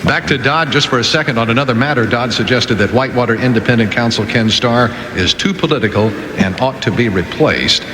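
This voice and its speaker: A man's voice: medium pitch, a little fast, hardly any pauses, fairly bright tone. A male radio newsreader with a mid-range voice, delivering headlines and dropping in casual asides. The sound is echoey and reverberant, with faint noise behind him. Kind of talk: news reading with casual asides.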